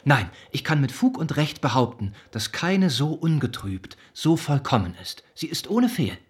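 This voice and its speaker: An actor playing a kind, earnest young gentleman in a radio play, speaking gently and formally. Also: a little high, sing-song, moderate pace, breathy edge, heavily acted. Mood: tender, earnest. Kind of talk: German radio play acting. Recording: studio radio play with music underneath.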